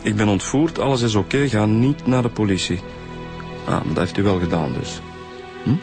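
A Flemish male police inspector in a radio drama. His voice sits fairly low as he puts questions in a patient, businesslike, informal way, pausing as he goes. Music plays clearly behind him. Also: animated. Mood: calm, probing. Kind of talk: naturalistic radio drama acting.

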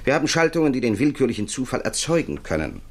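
An actor playing a cautious, sober computer expert in a 1960s German radio drama, explaining precisely and matter-of-factly. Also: male voice, medium pitch, big pitch swings, quick pace. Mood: didactic. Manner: precise, didactic, matter-of-fact.

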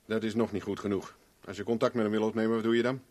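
Male actor for a hard-nosed detective in vintage radio drama: lowish voice, commanding, coolly sardonic. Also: fairly even intonation, very fast, darkish tone, natural voice. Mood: stern questioning. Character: tough, relentless male private investigator, interrogating coolly. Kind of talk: 1970s Dutch radio drama acting.

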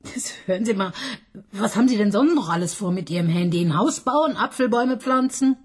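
An actress playing a middle-aged married woman detective who talks in a dry, probing and sometimes hushed way. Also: medium pitch, expressive, brisk, husky. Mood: wary, dry. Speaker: police detective. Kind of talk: radio play acting.

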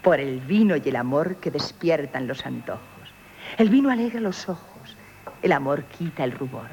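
An actress playing a coquettish, self-assured innkeeper in Castilian Spanish radio theatre, teasing and mock-demure. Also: female voice, low voice, expressive, normal speed, darkish tone. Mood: flirtatious. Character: coquettish, sly, self-assured female innkeeper charming men. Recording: noisy old radio drama recording.

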